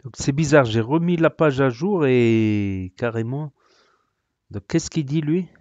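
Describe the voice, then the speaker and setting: A man's voice: medium pitch, expressive, a little slow, darkish tone, no room sound, muffled recording. A man hosting a reaction video, sarcastic and rambling, with many hesitations. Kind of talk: casual reaction commentary.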